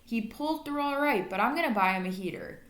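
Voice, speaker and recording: A woman's voice: fairly high pitch, expressive, fast, a bit bright. A woman voicing an amused, practical male school principal in a children's storybook. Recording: echoey room.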